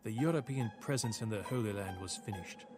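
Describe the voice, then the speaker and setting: An actor playing a weary, reflective captured crusader knight, narrating a game story in a solemn, measured way. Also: male voice, lowish voice, lightly acted. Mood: somber, regretful. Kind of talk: scripted narration. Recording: game cutscene with music underneath. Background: music.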